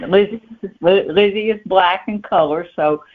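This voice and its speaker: A woman author chats casually as an interview guest, telling things in a halting way and repeating words. The sound is narrow and tinny, like a telephone. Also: medium pitch. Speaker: novelist guest being interviewed. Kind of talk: casual, anecdotal interview chat. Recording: tinny phone-line sound.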